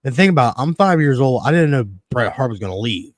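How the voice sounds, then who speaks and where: Man in his thirties, podcast host, excitable, swears a lot, dry close sound. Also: medium pitch, fast, no room sound. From Connecticut, USA. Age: 30s. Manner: excitable, swears freely, laughs often. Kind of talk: casual wrestling chat.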